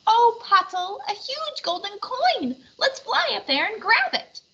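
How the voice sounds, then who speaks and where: A woman voicing a tiny, excitable puppet in a storybook fair show. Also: very high-pitched, measured pace, lo-fi sound, voice pushed up, exaggerated voice. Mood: excited.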